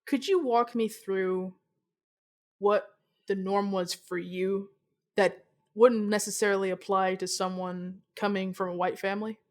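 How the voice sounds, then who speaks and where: A woman's voice: mid-range voice, steady tone, measured pace. A woman hosting a casual interview, speaking in a blunt, emphatic way with some swearing. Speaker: interview host.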